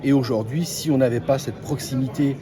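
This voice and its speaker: A man speaking as a mayor in a formal interview statement, deliberate, with many pauses. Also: mid-range voice, steady tone. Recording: clear crowd chatter behind the voice. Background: crowd chatter.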